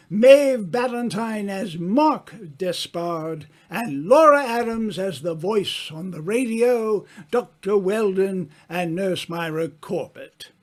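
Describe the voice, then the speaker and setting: A man announcing the closing credits of a radio drama in a scripted style. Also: high voice.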